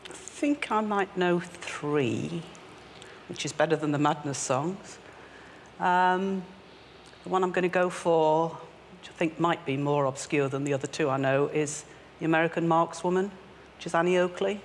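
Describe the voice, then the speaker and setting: A woman's voice: deep voice, measured pace. Female game show contestant reasoning aloud, slight studio noise around her. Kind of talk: thinking aloud as a quiz contestant.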